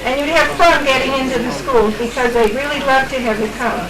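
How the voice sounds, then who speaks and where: A woman's voice: high voice, fairly quick, crowd noise. A woman volunteer organizer making informal, encouraging announcements and appealing for helpers at a meeting. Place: meeting room in a library. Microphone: distant room microphone. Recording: noisy echoey meeting room, distant microphone.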